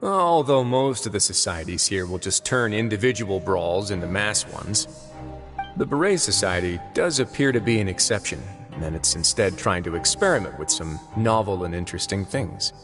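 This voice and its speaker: An actor voicing a composed adult prison warden in a video game, speaking in a calm, measured, thoughtful way. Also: male voice, fairly low pitch. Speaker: game character. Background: faint game music.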